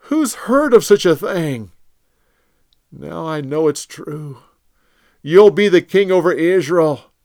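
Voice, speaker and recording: A man's voice: on the high side, animated, takes it slowly, gravelly, pauses often, darkish tone, no echo, clean studio sound, pitched above the performer's own voice, heavily acted. A man doing a tearful remorseful old king. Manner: tearful, imploring. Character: remorseful older king, weeping.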